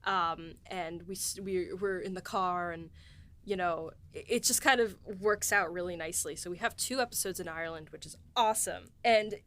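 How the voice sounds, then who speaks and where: A woman's voice: lowish voice, moderate pace, fairly bright tone. A woman hosting a casual chat who laughs easily and puts loose, rambling questions to the writers. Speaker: host asking the writers questions.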